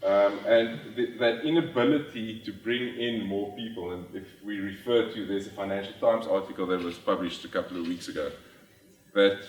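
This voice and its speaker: A South African man with a lowish voice presents to a conference audience, pausing often on ums and restarting phrases as he explains. His first language is Afrikaans. The sound is roomy and distant, picked up from well off the mic in a large hall. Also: steady tone. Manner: frequent ums and restarts, plain explanatory delivery. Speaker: conference speaker. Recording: echoey hall, from far off the mic.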